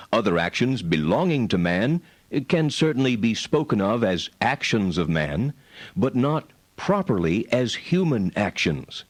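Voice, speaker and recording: An actor playing a learned medieval philosopher, reading formal text in a deliberate, precise, didactic way. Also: male voice, lowish voice, dry recording.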